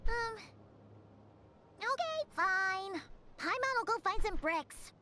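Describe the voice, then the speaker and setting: A woman voicing a tiny, chirpy female fairy companion in a game, with a squeaky, bouncy voice. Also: lively intonation, slow pace, bright, forceful tone, full character voice. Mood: fussy, chirpy. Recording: game audio under clicking noise.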